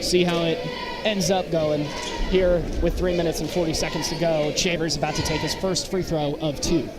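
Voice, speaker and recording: A man's voice: a little high, little pitch movement, moderate pace. A man doing live basketball play-by-play in short, clipped calls. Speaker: play-by-play sports commentator. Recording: very noisy gym, loud crowd din. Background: basketball bounces and crowd noise.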